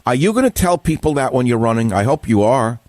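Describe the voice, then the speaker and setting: A man's voice: mid-range voice, sing-song, fast, darkish tone, no echo. An older man with a New York accent hosting a radio call-in interview, asking combative, blunt questions with rising emphasis. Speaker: radio talk show host.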